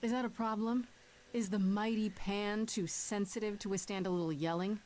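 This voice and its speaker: A woman's voice: medium pitch, fairly even intonation, normal speed, a little mellow, natural voice. An actress voicing a spirited, earnest young woman in a video game, with a direct conversational manner. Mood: teasing, defiant. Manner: direct, conversational, slightly sardonic.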